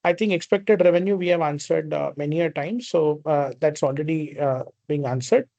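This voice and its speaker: A man's voice: on the high side, normal speed, gravelly, dark tone, lo-fi sound. A man with an Indian accent, a company executive answering questions on an earnings call in an explanatory way, checking in as he goes.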